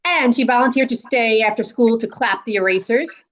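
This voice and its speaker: A woman's voice: on the high side, normal speed, fairly bright tone. A woman reading a children's storybook aloud as a school staff reader.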